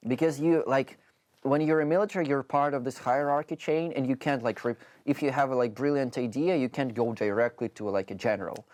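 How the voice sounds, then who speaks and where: A young man in his twenties with a Ukrainian accent, talking English as an interview guest. His voice is dark and mellow, recorded dry and close, and he rambles along with plenty of "like" fillers and restarts. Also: medium pitch, a little fast, dry recording. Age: 20s. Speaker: soldier guest. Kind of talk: interview conversation.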